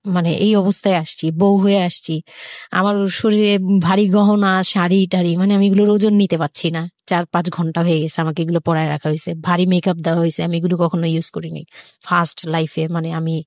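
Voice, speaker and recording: A woman with a Bangladeshi accent telling her own story informally as a guest, haltingly, repeating phrases. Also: fairly low pitch, flat delivery, a little mellow, no echo, clean studio sound.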